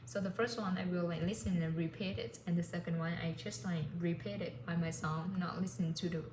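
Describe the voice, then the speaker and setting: A woman chats casually, her speech full of fillers and loose restarts. Her voice sits a little low. The recording sounds echoey, with steady noise in the background. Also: brisk. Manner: rambling, many fillers and "like". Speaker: language learner vlogger. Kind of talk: casual vlog chat.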